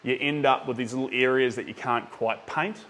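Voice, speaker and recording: A man's voice: medium pitch, fairly quick, a bit bright, a little hiss or hum. A young man from New Zealand with a Kiwi accent, chatting casually with viewers while he paints, rambling with lots of ums. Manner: chatty, rambling with many ums, reads out chat names.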